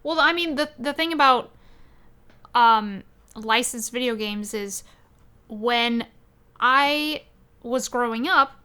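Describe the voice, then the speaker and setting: A woman talks casually as a podcast co-host. Her voice sits fairly high and has a clearly bright, forward edge. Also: slow pace, pauses often.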